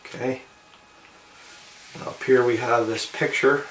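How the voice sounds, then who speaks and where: A man's voice: medium pitch, steady tone, unhurried, a bit bright, distant mic, slight background noise. Male video host, informal and musing as he reads and comments, roomy sound, set back from the mic. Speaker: video host reading and commenting on an old newspaper. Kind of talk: informal commentary mixed with reading aloud.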